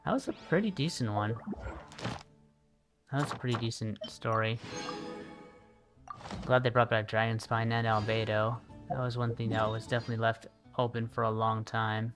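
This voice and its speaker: Guy on a game stream, normal pitch, laid-back musing, trails off, game music behind him. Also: fairly even intonation, moderate pace, pauses often. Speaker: livestreamer playing a video game. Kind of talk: casual gaming stream commentary.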